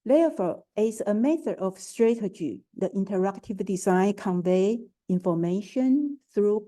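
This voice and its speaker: A woman with a Taiwanese accent talks at an unhurried, measured pace, often stopping to hesitate or restart a word. Her tone is very dark and warm. She sounds like an artist presenting a prepared talk over a video call. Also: medium pitch. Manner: careful and halting, with frequent hesitations and restarts. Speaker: artist, curator and art educator. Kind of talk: prepared talk at an online forum.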